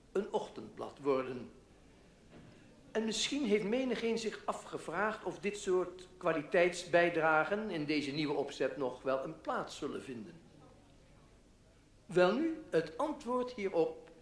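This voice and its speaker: An actor playing a pompous literary newspaper writer giving a florid, formal speech in a radio play. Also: male voice, on the high side. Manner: pompous, florid, measured oratory. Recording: echoey hall, public address.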